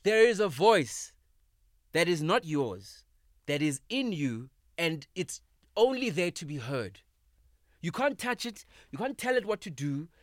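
A man in his thirties, a comedian guest in a podcast studio, talking casually, emphatic and animated, swearing freely. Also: a little high, measured pace, no room sound. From South Africa. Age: 30s. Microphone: studio microphone.

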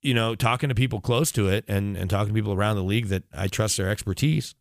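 Low-voiced man breaking down sports. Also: fast, gravelly, no echo, studio quality. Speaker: sports radio reporter and guest analyst. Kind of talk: sports analysis in casual conversation.

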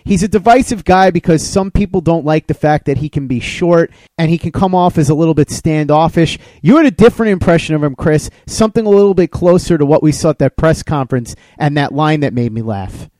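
A man's voice: fairly high pitch, darkish tone, no room sound. A man hosting a sports podcast, talking through the news and putting questions to his guest. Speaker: podcast host. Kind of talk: sports talk interview.

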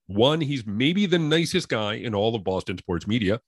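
A man's voice: medium pitch, big pitch swings, normal speed, husky, no echo, clean studio sound. A man hosting a sports podcast, delivering scripted intros and interview questions.

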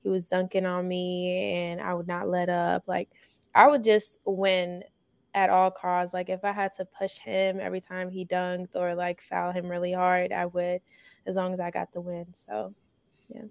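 A young woman from Finland, a college basketball guest, answering interview questions casually with many hesitations and soft laughs. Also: on the low side, flat delivery, moderate pace, vocal fry, smooth, dry recording. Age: young adult.

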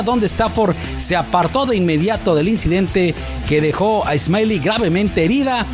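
A man presenting scripted sports news in a polished broadcast manner. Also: high voice. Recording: music bed under the voice.

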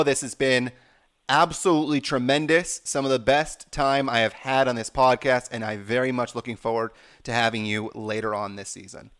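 A man's voice: medium pitch, fairly bright tone. A man hosting a sports podcast, speaking in an effusive, emphatic way. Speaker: podcast host. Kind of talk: sports podcast interview and sign-off.

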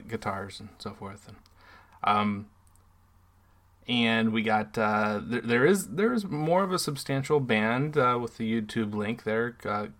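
A man co-hosting a relaxed podcast, his voice sitting in a mid range. He speaks haltingly, with frequent pauses and repeated words. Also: mid-range voice. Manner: hesitant, halting. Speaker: podcast co-host. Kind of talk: casual podcast chat.

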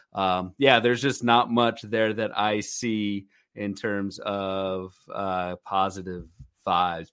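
Low-voiced man in casual sports chat. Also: fairly bright tone, smooth, no room sound, clean studio sound. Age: 30s. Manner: thinking aloud, many fillers and restarts. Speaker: podcast co-host. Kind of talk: casual fantasy baseball chat.

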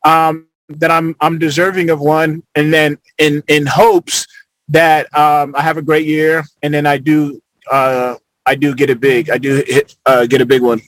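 High-voiced man chatting loosely on a podcast. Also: measured pace, dry recording, poor audio. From Arizona, United States. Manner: relaxed, rambling, many fillers and restarts. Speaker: podcast guest, former football player. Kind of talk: casual podcast conversation.

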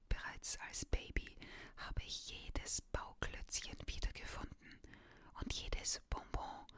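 Female reader, whispered ASMR storytelling; slow delivery, bright timbre. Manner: soft unvoiced whisper.

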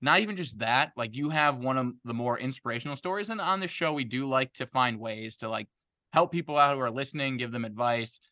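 A man's voice: mid-range voice, a little fast. A man co-hosting a podcast, telling stories in casual chat and acting out what people said. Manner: animated storyteller, acts out quotes. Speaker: podcast co-host.

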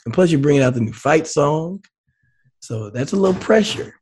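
A man co-hosting a casual sports show, relaxed and laid-back, his voice slightly raspy and scratchy. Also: fairly high pitch, normal speed. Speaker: co-host, former player.

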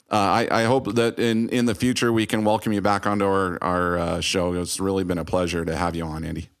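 A man's voice: low voice, flat delivery, fairly quick, no echo, clean studio sound. A middle-aged American man hosting a podcast, chatting casually and telling personal anecdotes. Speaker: podcast host. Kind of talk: casual chat.

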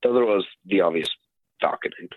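Young man from Norway, deep husky voice, phone-line voicemail, wry tone. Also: fast talker, no echo. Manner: wry, self-deprecating. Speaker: caller. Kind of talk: voicemail monologue.

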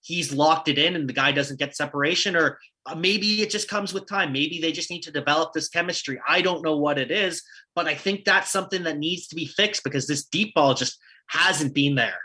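A man's voice: a little high, pushed and bright, husky. A man with a Canadian accent co-hosting a casual sports talk show, reeling off statistics and posing questions. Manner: stat-heavy, questioning, quick asides. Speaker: podcast co-host. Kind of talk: casual sports analysis chat.

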